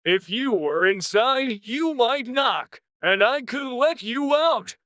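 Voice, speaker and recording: A narrator voicing a dull, indifferent frog footman from a storybook with a slow, placid drawl. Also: mid-range voice, lively intonation, fairly bright tone, no room sound, clean studio sound, voice pushed up.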